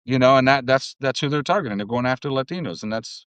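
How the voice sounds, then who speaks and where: A man from New Jersey talking politics in a casual chat, steady and full of "you know". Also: mid-range voice, fast talker, clear, resonant tone, no echo. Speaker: guest.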